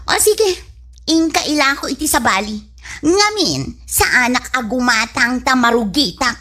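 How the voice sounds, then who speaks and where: Woman acting a haughty young village beauty, high animated voice. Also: unhurried, full character voice. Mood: disgusted, scornful. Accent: Ilocano. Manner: scornful, dismissive, teasing. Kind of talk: Ilocano comedy radio drama acting.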